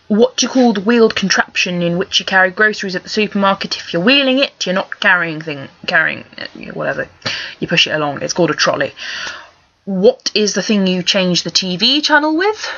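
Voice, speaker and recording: A woman's voice: medium pitch, lively intonation, fairly quick, a bit bright. A young woman with a posh English Home Counties accent from Surrey, chatting casually in a wry, rambling, sweary way at her webcam. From Surrey, England. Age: young adult. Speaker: vlogger. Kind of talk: casual chat answering accent challenge questions. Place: small bedroom, indoors. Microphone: laptop webcam at desk distance. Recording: dull lo-fi webcam sound.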